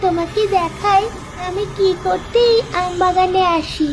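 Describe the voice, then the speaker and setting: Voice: very high voice, fairly even intonation, a little slow. A performer voicing a mysterious, playful little village girl in a children's cartoon, with a coaxing, childlike manner. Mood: friendly, curious. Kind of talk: children's animated horror cartoon dialogue. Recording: music behind the voice.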